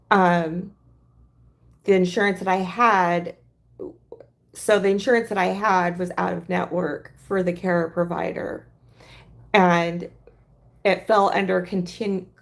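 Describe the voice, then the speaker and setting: A hoarse woman hosting a casual crafting livestream, talking in a halting, rambling way. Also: on the low side.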